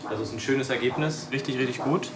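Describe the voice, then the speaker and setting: A man's voice: mid-range voice, quick pace. A man, a doctor, talking casually to a patient in a noisy examination room, away from the microphone. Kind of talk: casual consultation talk. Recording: noisy room, far from mic.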